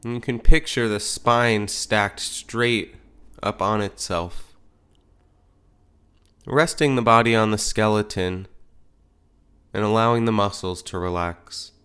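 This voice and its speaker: A man leading a guided meditation as a teacher, speaking in gentle, unhurried phrases with pauses. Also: lowish voice, clean tone.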